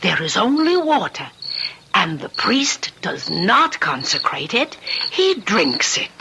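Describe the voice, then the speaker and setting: A commanding, secretive peasant woman of middle age in an old radio drama. Her voice is gravelly and slow, hushed and ominous in its delivery. The recording is noisy. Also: mid-range voice, very animated, exaggerated voice.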